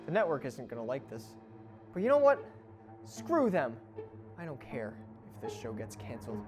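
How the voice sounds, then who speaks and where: A woman's voice: very deep voice, wildly swooping pitch, normal speed, faint music behind, heavily acted. A woman playing a breezy, rebellious host of a plant show, with a casual, theatrical manner and exasperated asides. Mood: exasperated, defiant. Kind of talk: comedic educational show presenting.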